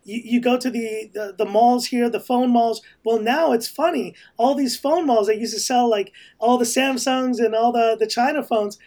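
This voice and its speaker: Man, American accent, high voice, podcast guest, rambling casual talk. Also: steady tone, moderate pace, hardly any pauses, darkish tone. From raised in Hong Kong, family from El Salvador. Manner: rambling, many restarts and fillers. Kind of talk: casual conversation.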